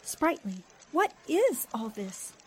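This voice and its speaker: An actress playing a spirited, indignant newspaper columnist in a theatrical 1940s radio-drama style. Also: female voice, high-pitched, slow pace, heavily acted. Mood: bright, wary. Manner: expressive, theatrical. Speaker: actor in an audio drama.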